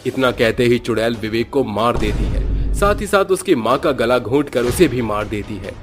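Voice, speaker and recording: A man's voice: mid-range voice, very animated, moderate pace. A man giving scripted narration for a horror cartoon story.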